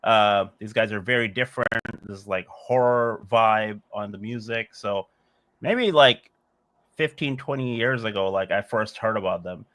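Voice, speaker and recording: A man's voice: lowish voice, a little slow, a bit bright. A man in his forties from Bangladesh, a musician answering interview questions in a thoughtful, meandering way with frequent "like" and "uh". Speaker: musician guest.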